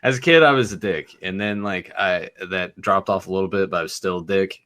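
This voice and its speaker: Low-ish guy rambling thru childhood stories on a podcast, cusses a lot, laughs at himself. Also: a little fast, a bit bright. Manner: rambling storyteller, swears freely, laughs at himself. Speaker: podcast host. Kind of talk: casual chat.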